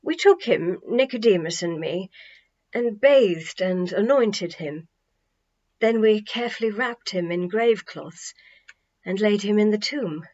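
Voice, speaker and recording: A woman voicing a gentle, earnest man in a children's storybook, speaking tenderly and haltingly in a hushed way. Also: medium pitch, lively intonation, muffled recording.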